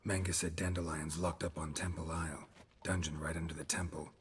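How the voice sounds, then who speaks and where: An actor playing a stoic, world-weary monster hunter in a video game, terse and deadpan. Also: male voice, a bass voice, fairly quick, slightly rough, exaggerated voice. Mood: terse, subdued.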